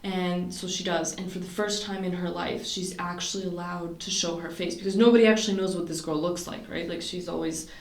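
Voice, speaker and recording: A woman's voice: lowish voice, fairly even intonation, brisk, few pauses. A young woman from Canada talking casually to camera about her projects, chatty and rambling with many fillers and restarts. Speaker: vlogger. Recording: roomy sound, mic at a distance.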